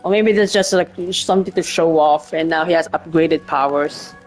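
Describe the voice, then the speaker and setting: A woman's voice: low voice, moderate pace, faint music behind. A woman doing casual gameplay commentary as a streamer, thinking aloud in a hesitant way. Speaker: game streamer.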